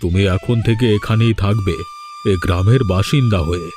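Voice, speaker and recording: A man's voice: lowish voice, moderate pace, natural voice. Man acting grave village elder in a cartoon. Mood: apologetic, pleading. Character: remorseful male village elder, authoritative yet humbled. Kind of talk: Bengali moral-tale cartoon dubbing. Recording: music behind the voice.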